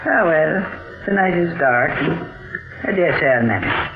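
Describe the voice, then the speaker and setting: An oily, mock-courteous blackmailer in an old radio thriller. His suave drawl hesitates and slides up and down widely. The sound is muffled and narrow, with clear music behind it. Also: fairly high pitch, lively intonation, unhurried. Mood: smug, oily. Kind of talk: 1940s radio drama acting.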